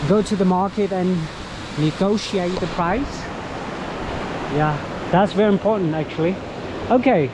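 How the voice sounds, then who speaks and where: Slow-talking Vietnamese man guiding outdoors. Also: on the high side. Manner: chatty, halting with many fillers. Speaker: local tour guide. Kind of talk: walking travel vlog. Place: outdoors in a mountain village. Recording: noisy outdoor recording.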